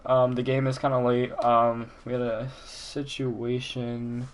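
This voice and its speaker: A young man hosting an online esports match with a stuffy voice from a sinus infection, pausing on frequent "um"s. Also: medium pitch, level tone. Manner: hesitant, many "um"s. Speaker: tournament organizer and host.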